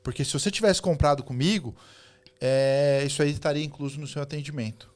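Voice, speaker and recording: A man hosting a podcast, asking questions casually, teasing his guest and making a persuasive pitch to listeners. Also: brisk. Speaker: podcast host.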